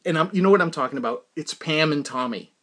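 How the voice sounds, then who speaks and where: A middle-aged man hosting a podcast in a rambling, casual monologue, animated, often interrupting himself and repeating words for emphasis. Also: on the high side, quick pace. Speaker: podcast host.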